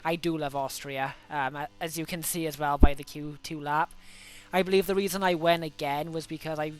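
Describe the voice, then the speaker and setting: A man's voice: fairly high pitch, steady tone, brisk, smooth, rough recording. Welsh man talking through his racing laps. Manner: chatty, self-correcting, many fillers. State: a cold coming on, voice rough. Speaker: gaming video host. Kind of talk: casual gameplay commentary.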